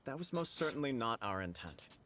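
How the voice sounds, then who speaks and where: A man's voice: medium pitch, big pitch swings, a little fast, noisy background, close to an everyday voice. A man voicing a composed, diplomatic government official in a video game, speaking politely and formally. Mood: courteous.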